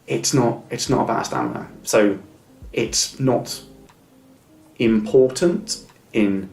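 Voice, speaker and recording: A middle-aged business advisor who stammers, giving a measured, thoughtful interview answer with small blocks and repeats. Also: male voice, mid-range voice, creaky, a little mellow, roomy sound, soft background music, low-quality recording.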